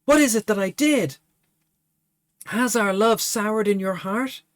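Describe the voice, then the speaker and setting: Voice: medium pitch, slow, no room sound, studio quality, voice pushed up, close to an everyday voice. A reader voicing Favia, a young woman lover dying in a stage tragedy, with melodramatic pleading. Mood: anguished.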